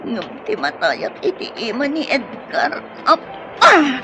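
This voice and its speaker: A woman's voice: high-pitched, very animated, takes it slowly, heavily acted. A woman with a Filipino accent playing a frightened young woman rebel, anxious and pleading, in a radio drama. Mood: panicked, desperate. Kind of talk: Filipino radio drama acting with inner narration. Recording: muffled lo-fi radio drama audio.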